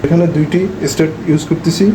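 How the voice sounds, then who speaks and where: A man's voice: on the high side, flat delivery, quick pace. A man giving a plain, step-by-step spoken technical explanation as the presenter of a hobby project. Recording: noisy amateur recording with ambient sound.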